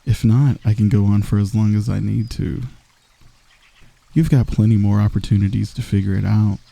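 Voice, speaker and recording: The cocky villain of a late-night romance audio roleplay, cornering an enemy to tease softly in a very dark, creaky voice right by the ear a man acting it out. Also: on the low side, level tone, moderate pace, no echo. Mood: cocky, flirtatious. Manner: soft, intimate, teasing, cocky. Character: smug, teasing, flirtatious male villain wooing an enemy. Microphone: close microphone.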